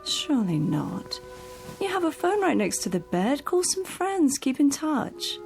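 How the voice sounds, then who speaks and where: A brisk, coaxing caretaker. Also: female voice, sits high, very animated, creaky, darkish tone, exaggerated voice. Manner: coaxing, reassuring, firm. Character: brisk, cheerful caretaker woman, bossy but kind. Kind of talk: radio drama acting. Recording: radio drama with faint music.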